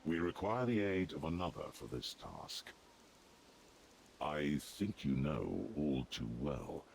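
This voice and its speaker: An actor playing a solemn, devout ancient servant of a dark god in a fantasy video game, speaking formally and gravely. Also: male voice, big pitch swings, a little hiss or hum, full character voice. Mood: grave, explaining. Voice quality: very deep, gravelly. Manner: formal, grave, measured and declarative. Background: music.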